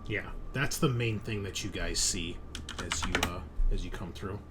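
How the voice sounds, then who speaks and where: Low-voiced man running a tabletop game. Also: normal speed, very noisy. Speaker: game master. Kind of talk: casual tabletop game narration.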